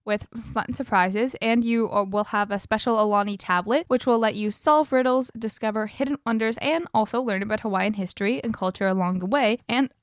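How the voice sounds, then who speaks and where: Woman, slightly high pitch, podcast presenter, semi-scripted delivery, dry sound. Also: a little fast, hardly any pauses, dry recording. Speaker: podcast co-host. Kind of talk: podcast presenting, partly read from notes.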